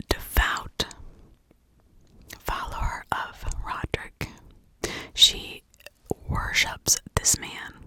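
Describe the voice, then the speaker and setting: A woman doing ASMR true-crime storytelling in a breathy whisper, chatting from memory with dramatic emphasis on key words. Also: bright, forceful tone. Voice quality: breathy, unvoiced whisper with creaky edges.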